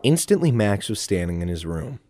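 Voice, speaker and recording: A man narrating a scripted comic adventure story, with occasional deliberate hesitations. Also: fairly low pitch, very animated, loud music behind the voice.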